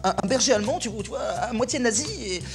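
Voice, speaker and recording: A man's voice: sits high, quick pace, music in the background. A man playing a neurotic, stammering intellectual who rambles anxiously and repeats words. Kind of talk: sketch comedy.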